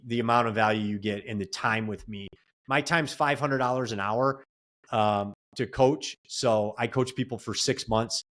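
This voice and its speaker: A man's voice: lowish voice, a bit bright. A man in a casual podcast interview, a real estate investor and coach giving earnest advice in long run-on stretches.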